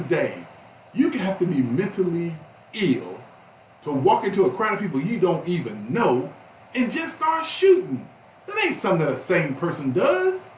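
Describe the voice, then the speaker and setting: A man of fifty co-hosting a talk radio show, passionate and emphatic, rising to outbursts. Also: high-pitched. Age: 50s. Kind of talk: heated talk radio discussion. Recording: roomy, slightly distant, faint background noise.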